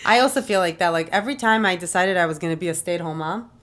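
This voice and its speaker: A woman from Israel hosting a podcast, speaking casually and warmly with lots of fillers. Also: lowish voice, quick pace, crowd noise. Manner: warm, rambling, lots of "like" and "you know". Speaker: podcast host. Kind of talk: casual conversational interview.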